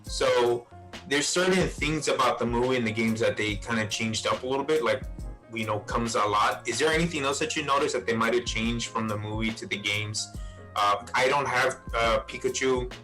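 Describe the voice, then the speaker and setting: A man's voice: mid-range voice, normal speed, sharp tone. A man hosting an informal chat, talking in a casual, buddy-to-buddy way. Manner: informal, saying "man" and "bro" often. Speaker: host. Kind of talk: casual chat about video game movies. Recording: music playing behind the voice. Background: music.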